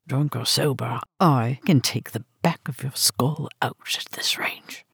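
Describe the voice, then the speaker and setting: A woman voicing an old, drunk British woman with a low, gravelled, slurred and ferocious voice who drawls slowly and with contempt. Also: no room sound, clean studio sound, exaggerated voice. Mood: menacing.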